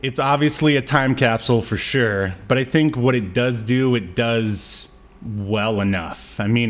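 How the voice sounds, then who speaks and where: A man co-hosting a podcast in casual chat, talking in a loose, rambling way with chuckles. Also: medium pitch. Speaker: podcast co-host. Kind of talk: casual chat about cartoons.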